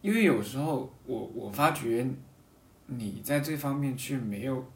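Halting gravelly man, distant and echoey. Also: mid-range voice. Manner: hesitant, halting, repeats himself, clears throat. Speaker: podcast guest, painter. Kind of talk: reflective casual chat. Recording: distant mic, echoey room, faint background noise.

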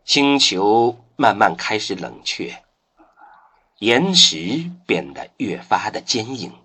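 A man narrating a science-fiction radio drama in a solemn storytelling manner, with dramatic pauses. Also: fairly low pitch, big pitch swings, sharp tone, husky.

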